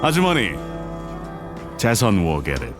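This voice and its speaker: A man's voice: on the low side, huge pitch swings, normal speed, music in the background. An actor playing a caring, firm middle-aged Korean businessman father with a dry manner in an audio drama. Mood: supportive.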